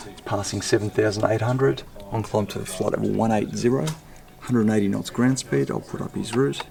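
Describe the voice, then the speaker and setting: A man's voice: fairly low pitch, steady tone, fairly quick, gravelly. A man, an air traffic controller giving a factual, orderly technical tour. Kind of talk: explanatory guided-tour talk.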